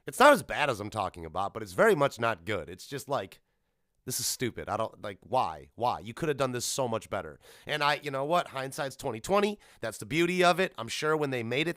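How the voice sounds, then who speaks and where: A man hosting a solo podcast, rambling casually and bluntly in an animated way, swearing freely. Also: mid-range voice, dry recording, studio quality. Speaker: podcast host.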